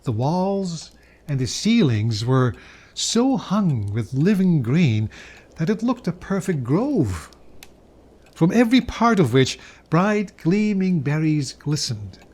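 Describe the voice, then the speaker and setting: Higher-pitched man reading a classic story. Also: big pitch swings, slow pace, a little mellow. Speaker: reader. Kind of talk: dramatic storybook narration.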